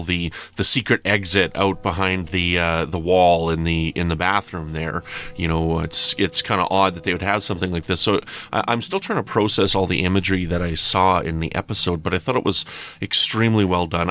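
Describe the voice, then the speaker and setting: A man's voice: sits low, fairly even intonation, normal speed, a bit bright. A man giving casual listener feedback, conversational and a little hesitant as he thinks aloud. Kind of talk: casual spoken review.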